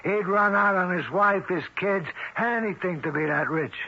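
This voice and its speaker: An actor playing a cocky, scheming crook in a radio drama, with rough, slangy, persuasive talk. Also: male voice, high voice, normal speed. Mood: cynical, persuasive. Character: scheming tough crook, cocky, desperate for a fortune. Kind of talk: 1970s radio drama acting. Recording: dull vintage radio recording.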